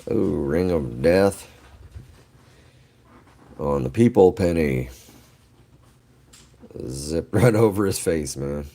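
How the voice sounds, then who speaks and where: A man's voice: rumbling low, animated, takes it slowly, long pauses, warm tone. A middle-aged man with allergies, chatting casually and rambling to viewers on his livestream, laughing often. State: allergies, voice lower than usual. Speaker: livestream host. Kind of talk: casual live chat with viewers.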